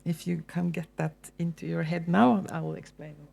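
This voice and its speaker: A woman with a Norwegian accent giving a relaxed, conversational public lecture as a university lecturer, with frequent ums and restarts. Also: on the low side, normal speed, vocal fry, slightly rough. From Norway.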